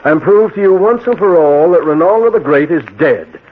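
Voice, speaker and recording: An actor playing an authoritative, headstrong skeptical judge, speaking formally and emphatically in old-time radio drama. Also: male voice, a little high, animated, moderate pace, lightly acted. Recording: tinny, echoey old radio recording.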